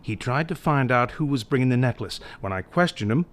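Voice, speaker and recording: A man's voice: medium pitch, lively intonation, brisk, natural voice. Man as worried middle-aged father, medium voice. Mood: worried, earnest. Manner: earnest, uneasy. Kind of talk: audio re-creation of a 1930s mystery film.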